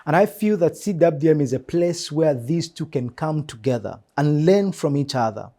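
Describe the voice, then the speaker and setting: A man with a Zambian accent giving earnest interview answers as a church mission secretary, measured and emphatic, repeating phrases for emphasis. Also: a little high, expressive, dark tone.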